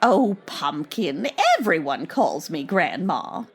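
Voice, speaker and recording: Woman playing a slow, swooping, sweet old grandma. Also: low-pitched, sing-song, breathy edge, full character voice. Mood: chatty, doting. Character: sweet, chatty, oblivious old grandmother hostess. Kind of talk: old-time radio comedy acting.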